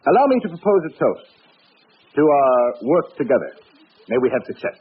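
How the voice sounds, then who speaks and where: A man's voice: mid-range voice, big pitch swings, moderate pace, breathy edge. A man playing a demanding, imperious research scientist with a formal, commanding manner in a vintage radio drama. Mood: formal, authoritative. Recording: thin, tinny old radio recording.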